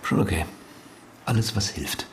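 An actor in a German radio drama playing a returned husband, controlled, evasive and quietly menacing. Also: male voice, lowish voice, expressive, moderate pace, a little hiss or hum, lightly acted. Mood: strained, hesitant.

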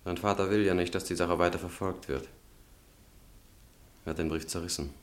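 An actor playing a controlled, secretly calculating young student in a radio drama, speaking in a measured, softly probing way. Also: male voice, deep voice, steady tone, close to an everyday voice. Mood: smoothly explaining. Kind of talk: German radio drama acting.

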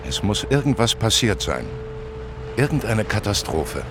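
A man playing a thoughtful judge in a radio crime drama, reasoning things out in a measured way. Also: lowish voice, very animated, over music. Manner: reasoned, deliberate. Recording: noisy outdoor ambience behind voice.

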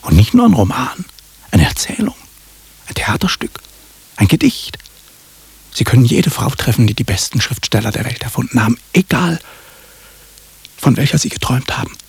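An actor playing a shady, showy magician in a radio drama, pitching his magic cabinet with a salesman's patter. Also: big pitch swings, moderate pace, pauses often, heavily acted. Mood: showy salesmanship. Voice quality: husky, creaky. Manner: salesman's patter, swooping emphasis.

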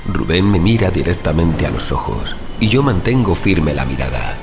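An actor with a Spanish accent from Spain playing a reckless, secretive younger brother in an audio drama, urgent and pleading. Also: male voice, low-pitched, very animated, moderate pace, vocal fry, heavily acted. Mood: resolute, confessional. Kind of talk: audio drama acting with inner monologue. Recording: voice over loud background music.

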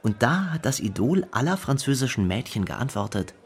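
Man playing grave brother, husky, lots of fry, scripted radio drama recollection. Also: mid-range voice, very animated, normal speed, full character voice. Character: grave brother of a troubled star, recalling family burdens.

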